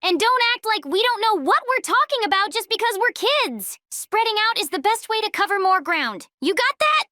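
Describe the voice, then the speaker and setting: A woman's voice: very high voice, very animated, moderate pace, bright, forceful tone, dry recording, studio quality, heavily acted. A woman voicing a feisty, combative young girl with a bossy manner in a cartoon-style comic dub. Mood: indignant, bossy. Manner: bossy, confrontational. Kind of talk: comic dub character acting.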